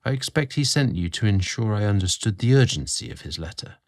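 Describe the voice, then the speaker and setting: Man voicing a courteous young manor gardener, low-pitched. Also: expressive, fairly quick. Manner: polite, formal, eager to please. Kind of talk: storybook character dialogue.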